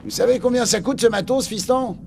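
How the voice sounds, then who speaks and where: Casting: scolding older army technician, high-pitched creaky voice, brisk and exasperated, game cutscene. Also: male voice, noisy background. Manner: brisk, griping. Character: grumbling older male military armourer, gruff, scolding. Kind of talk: French video game dubbing. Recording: music behind the dialogue.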